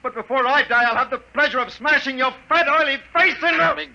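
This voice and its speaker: A man's voice: very high-pitched, a little fast, vocal fry, pushed and bright, full character voice. A man acting as an impassioned, desperate and bitter scientist in a 1950s science fiction radio drama. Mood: frantic, furious. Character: impassioned, desperate human scientist from an empire, turning bitterly resigned. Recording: noisy vintage 1950s radio recording.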